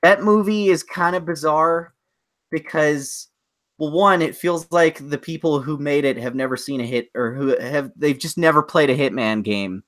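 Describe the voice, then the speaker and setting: A man's voice: a little high, normal speed, a bit bright. A man co-hosting a podcast, chatting casually about movies in a rambling, opinionated way. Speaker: podcast co-host.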